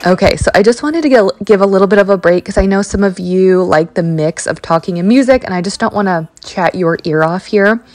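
A woman's voice: mid-range voice, a little mellow, no echo. A woman in her thirties chatting casually as a home cleaning vlogger, telling a personal story with lots of ums and likes. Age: 30s. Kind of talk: casual chat over cleaning footage. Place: carpeted home basement and small tiled bathroom.

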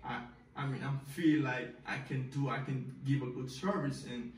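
A man's voice: mid-range voice, steady tone, moderate pace, distant mic. A young man from Haiti with a Haitian Creole accent, speaking as a job applicant in a hesitant, self-recorded video with many restarts. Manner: hesitant, repeats words, often says "you know what I'm saying". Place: small bedroom with a desk and computer, indoors. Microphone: far camera, filmed from the side. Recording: echoey room, voice from afar.

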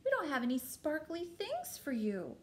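Woman putting on a breathy, high-voiced dramatic queen. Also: very animated, moderate pace, slight background noise. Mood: dismayed, apologetic. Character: doting, gasping storybook queen, astonished mother.

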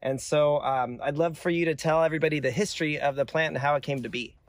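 A man's voice: a little high, steady tone, quick pace, no echo. A middle-aged man hosting a casual on-location interview outdoors on a farm. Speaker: video host interviewing a grower. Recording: outdoor noise behind the voice.

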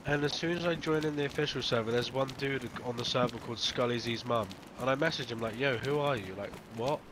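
A man's voice: mid-range voice, fast talker, background noise. A man from the UK telling a playful story in casual game chat. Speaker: gamer.

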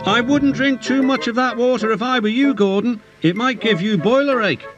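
A man voicing a cheeky, bright small tank engine in a children's story, teasing and mock-innocent. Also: very high-pitched, normal speed, lo-fi sound, music in the background, pitched above the performer's own voice.

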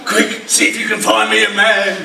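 A man's voice: sits high, flat delivery, moderate pace, creaky. A man playing a man-hungry ugly stepsister in pantomime, saucy and self-mocking, with an English accent. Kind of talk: pantomime comedy, audience banter. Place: theatre stage in a village hall. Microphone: far camera. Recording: echoey hall from far off, loud noise.

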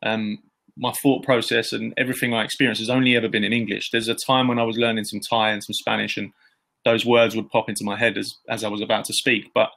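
A man in his thirties hosts an online event over a laptop video call from a bright room at home. His voice is gravelly with a mid-range pitch, and he speaks in a friendly, relaxed way, filling pauses with ums. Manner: friendly, relaxed, filler-heavy. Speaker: event host. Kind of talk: moderating a video call session.

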